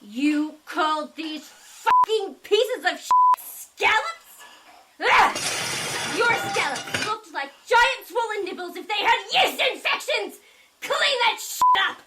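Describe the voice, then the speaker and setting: A woman's voice: very high voice, sing-song, takes it slowly, noisy background, full character voice. A woman playing a tenacious, hot-tempered head chef in an audio drama, with an emphatic way of talking. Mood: furious.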